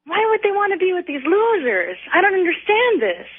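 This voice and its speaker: High-voiced woman on a tinny phone line. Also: big pitch swings, fairly quick. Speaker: radio call-in listener. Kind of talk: casual, candid chat.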